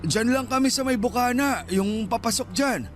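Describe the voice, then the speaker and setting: A man's voice: very high-pitched, moderate pace, soft background music. A man in a Filipino radio drama playing a shy, grumbling street vendor aged sixty-five. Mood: mild, friendly. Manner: plaintive, grumbling.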